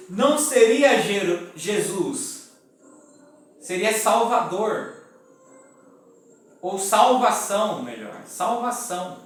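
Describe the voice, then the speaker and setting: A man with a Brazilian Portuguese accent preaching a sermon, explaining his points slowly and deliberately. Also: high-pitched, fairly bright tone. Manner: deliberate, spells out letters one by one. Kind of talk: sermon teaching. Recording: echoey room, mic at a distance, faint music.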